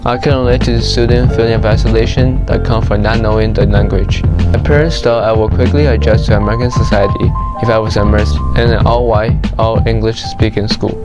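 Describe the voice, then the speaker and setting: Flat-voiced man narrating over music. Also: fairly low pitch. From China. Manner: steady, reading a script.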